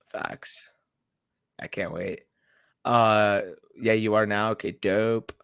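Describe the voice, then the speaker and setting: A young adult man with a hoarse voice, co-hosting a casual livestream and teasing playfully with lots of slang. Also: fairly low pitch, steady tone, slow pace, a bit bright, no echo, studio quality. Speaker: co-host.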